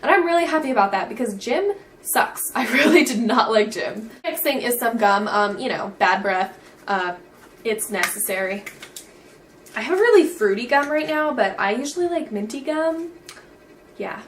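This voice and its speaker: A woman's voice: on the high side, animated, normal speed, distant mic, a little hiss or hum. A teenage girl in a casual beauty vlog, chatty and bubbly, saying "like" all the time. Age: teens. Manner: bubbly, says "like" constantly. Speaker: beauty vlogger.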